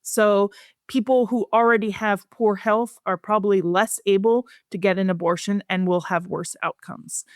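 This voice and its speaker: A woman speaking as an expert guest in a research interview, explaining things in a measured, plain-spoken way. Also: mid-range voice, steady tone, no echo, studio quality.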